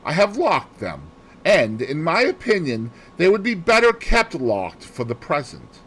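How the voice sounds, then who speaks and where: A man's voice: a little high, huge pitch swings, unhurried, fairly bright tone, breathy edge, voice pushed up, full character voice. A man voicing a grave, formal male doctor in a mystery audiobook, speaking with authority. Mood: brisk, formal.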